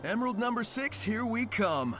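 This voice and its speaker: A cocky young American video game hero with a high, animated voice. The delivery is casual, confident and upbeat, like a quick-witted hedgehog who loves a challenge. Music plays behind the voice. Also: male voice. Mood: upbeat, determined. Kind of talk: video game cutscene voice acting.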